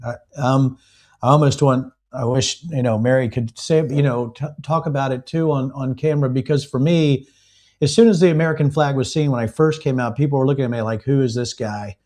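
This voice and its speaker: Male guest, rambling anecdotes full of ums and restarts, mid-pitched. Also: fairly even intonation, darkish tone. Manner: rambling storyteller, halting with fillers. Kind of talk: live remote interview.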